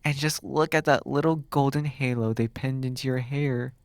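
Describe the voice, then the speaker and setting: A man voices a smug demon captor in an ASMR roleplay. He is flirty and teasing, musing with pauses at a middling pitch. The sound is dry and close to the mic. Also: steady tone, no room sound, lightly acted.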